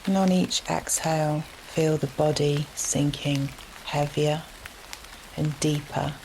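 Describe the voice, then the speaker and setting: A woman leading a guided relaxation meditation in a gentle, soothing manner, leaving long unhurried pauses between phrases. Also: low voice, slight background noise, poor audio. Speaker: yoga nidra meditation guide. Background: steady rain sounds.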